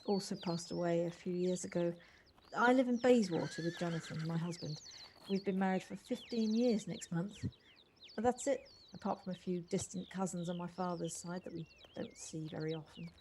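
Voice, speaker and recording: A woman's voice: lowish voice, darkish tone, close to an everyday voice. A woman acting an earnest, caring professional in an amateur audio drama. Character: earnest married London lawyer, caring and insistent. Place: at home, recorded remotely. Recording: faint noise, home personal-device recording.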